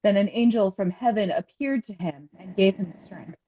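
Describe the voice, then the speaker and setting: Lady reading bible passage at church service, mellow mid-range voice, deliberate, bit muffled sounding. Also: mid-range voice, husky. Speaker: scripture reader, pastor. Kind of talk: solemn liturgical scripture reading.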